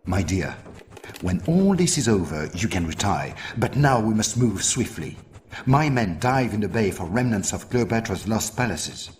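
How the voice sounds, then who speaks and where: An actor playing an earnest, worried French archaeologist ally in a video game, with a French accent and a grave, deliberate delivery. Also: male voice, on the low side, very animated, darkish tone, a little hiss or hum. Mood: urgent. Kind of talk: video game cutscene acting. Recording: music behind dialogue.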